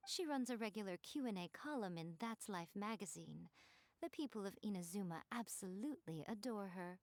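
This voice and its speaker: A woman's voice: mid-range voice, animated, normal speed, no echo, close to an everyday voice. A woman voicing a poised, confident and sly priestess who runs a publisher, speaking in a knowing, teasing way in a video game. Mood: composed, scheming.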